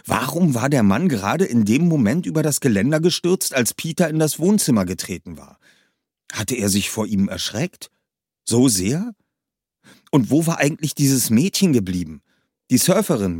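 A man's voice: medium pitch, darkish tone, husky, no echo, clean studio sound. A man reading a suspense story aloud in a tense, dramatic narration style. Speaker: reader. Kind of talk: audiobook narration.

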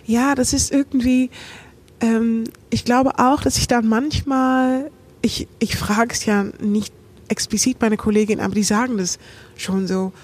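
A woman's voice: a little high, steady tone, measured pace, darkish tone, husky. A woman from the Netherlands with a Dutch accent, an actress chatting as a podcast guest, speaking thoughtfully with a rounded, melodic phrasing. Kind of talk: casual podcast chat.

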